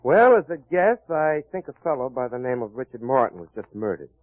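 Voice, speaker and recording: An actor playing a wry, confident private detective in a hard-boiled old-time radio crime drama. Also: male voice, medium pitch, big pitch swings, brisk, no echo, close to an everyday voice. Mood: wry, assured. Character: wry confident male private detective cracking a murder case. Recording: muffled vintage radio recording.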